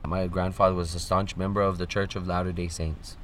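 A man from Oahu giving a measured, earnest personal account as a radio guest. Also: sits low, level tone, a bit bright, slight background noise. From Oahu, Hawaii. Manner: measured, earnest, lists things in series.

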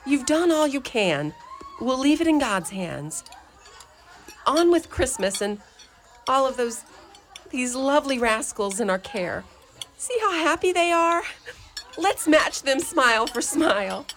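A woman playing a stern but tender elderly mother superior in a community radio play, brisk and commanding. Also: high-pitched, sing-song, a bit bright, heavily acted. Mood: encouraging.

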